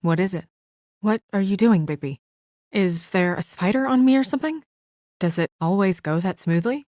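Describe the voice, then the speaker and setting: A woman's voice: medium pitch, moderate pace, mellow, no room sound, clean studio sound, lightly acted. A woman playing an earnest, businesslike young woman with office authority and a dryly exasperated edge, in naturalistic video game acting. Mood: uneasy, guarded.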